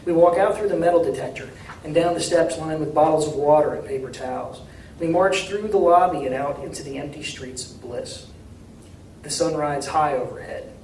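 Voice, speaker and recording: A man with a slightly high voice reads his fiction aloud to a room. His delivery is measured and deliberate. He sounds some way from the microphone, with strong room echo and faint noise. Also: darkish tone, recorded from a distance. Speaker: author reading from his novel. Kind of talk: live literary reading, dramatic first-person narration. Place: indoor reading at a university.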